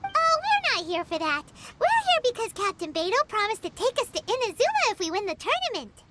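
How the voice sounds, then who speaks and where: A woman voicing a small, cheeky, childlike fairy companion with a squeaky, eager delivery in a video game. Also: big pitch swings, brisk, very noisy. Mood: eager, chatty. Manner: refers to self in third person, blunt, eager. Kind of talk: video game character acting. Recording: game music behind the voice.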